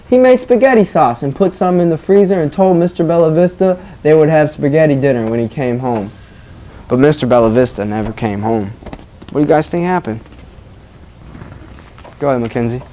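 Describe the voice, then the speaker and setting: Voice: mid-range voice, expressive, brisk, dark tone. A teacher reading a story aloud to children and leading discussion with questions and short acknowledgements. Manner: prompting children with questions, acknowledging their answers.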